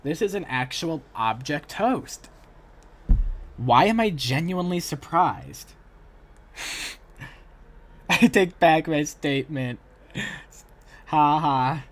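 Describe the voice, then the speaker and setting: A man's voice: on the high side, animated, takes it slowly, long pauses, a little hiss or hum. Casual man hosting a livestream. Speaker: livestream host. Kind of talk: casual reaction stream chat.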